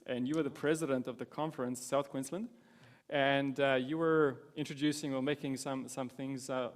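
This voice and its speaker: A man's voice: medium pitch, fairly even intonation, normal speed, long reverb tail. A man in his thirties, born in Romania, being interviewed on a church stage as guest pastor, earnest with self-deprecating humour. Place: church stage before a congregation.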